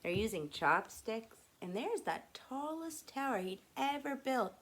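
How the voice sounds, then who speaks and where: A woman reading a children's picture book aloud expressively, with little asides and hushed moments. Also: a little high, measured pace, a little hiss or hum, rough recording. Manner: animated, drops into hushed near-whispers.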